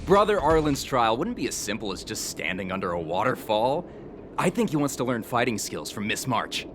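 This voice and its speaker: A man's voice: medium pitch, big pitch swings, normal speed, over music, close to an everyday voice. An actor playing an earnest, hero-worshipping male security staffer in a video game, speaking fervently and emphatically. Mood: admiring.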